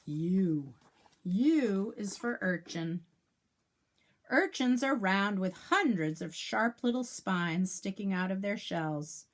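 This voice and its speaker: Slow-paced woman reading to young children. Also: mid-range voice, expressive. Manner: sing-song, enunciating letters for young children; adds asides and questions to young listeners. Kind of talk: read-aloud of a children's alphabet picture book.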